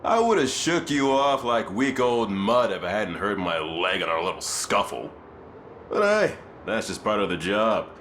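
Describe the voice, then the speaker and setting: An actor playing a cocky, unrepentant hired sword in a video game, with a sardonic, colloquial way of talking. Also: male voice, on the low side, very animated, a little slow, a bit bright, slight background noise. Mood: wry defiance. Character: cocky captured male mercenary, wry and unrepentant.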